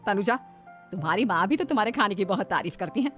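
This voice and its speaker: A woman's voice: medium pitch, lively intonation, fast talker, fairly bright tone, soft background music, lightly acted. Commanding, fussy mother-in-law for cartoon tale, female performer, middle register. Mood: coaxing. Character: bossy, complaining older mother-in-law.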